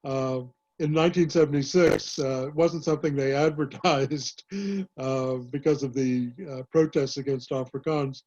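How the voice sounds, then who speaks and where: Older man historian, dark muffled voice. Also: medium pitch, fairly even intonation. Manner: measured, many "uh" pauses and restarts. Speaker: author and historian, guest speaker. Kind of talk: online panel talk answering questions.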